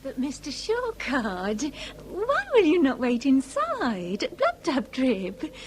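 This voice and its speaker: A woman's voice: sits high, huge pitch swings, slow, a little hiss or hum, full character voice. A woman playing a genteel, devoted young Victorian daughter with melodramatic delivery in a period radio comedy. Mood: polite, concerned.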